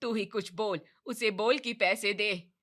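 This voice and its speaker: A woman voicing a domineering, demanding mother-in-law who scolds loudly and indignantly. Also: sits low, moderate pace, voice pushed down. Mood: angry scolding.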